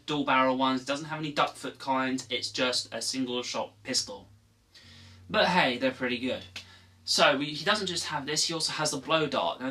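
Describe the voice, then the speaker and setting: A man's voice: mid-range voice, pushed and bright, recorded from a distance. A man casually explaining video game lore as a channel host, rambling with fillers and correcting himself as he goes. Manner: rambling, self-correcting, offhand.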